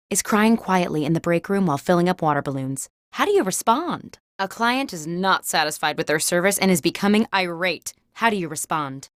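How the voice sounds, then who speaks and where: A playful female game host with an office theme. Her mid-pitched voice moves up and down in a very lively, theatrical way as she delivers scripted comic prompts. The sound is dry and studio-clean. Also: no room sound. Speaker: game show host in a party video game. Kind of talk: scripted comedic prompt reading.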